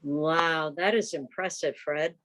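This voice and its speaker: A woman chairing an informal club meeting on a video call, talking and reading reports in a halting, conversational way. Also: low voice, steady tone, fairly bright tone.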